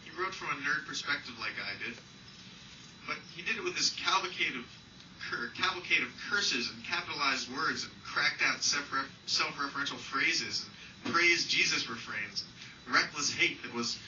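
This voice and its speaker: A man's voice: on the high side, animated, moderate pace, bright, forceful tone. A man who is an author reading a personal essay aloud live, with a wry, self-deprecating manner, recorded from a distance indoors. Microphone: distant from the speaker. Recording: distant, roomy, muffled, noisy live recording.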